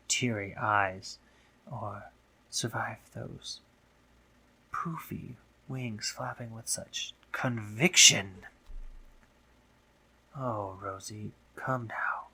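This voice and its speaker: A man playing a teasing, dominant, courtly spider monster in an intimate audio roleplay, theatrical and breathy, often dropping into whispers. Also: medium pitch, bright, forceful tone, exaggerated voice. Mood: mock-dramatic. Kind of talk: intimate scripted audio roleplay, addressing the listener.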